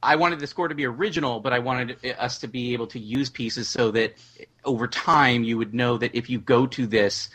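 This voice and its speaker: A man speaking as a guest in a casual panel discussion, explaining things haltingly and repeating words. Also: mid-range voice, fairly bright tone. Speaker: podcast guest, audio drama writer-producer.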